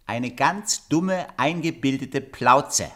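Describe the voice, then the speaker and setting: A man's voice: medium pitch, lively intonation, slow pace, a bit bright. A man playing an impudent, mocking jester in a theatrical fairy-tale radio play. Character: cheeky, irreverent Kasper puppet-show jester, married man.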